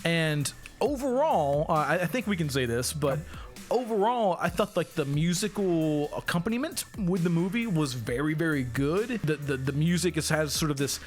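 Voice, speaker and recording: A man's voice: fairly high pitch, lively intonation, normal speed, soft background music. A man chatting informally as a film review co-host, with loose, slangy wording. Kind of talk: casual review chat.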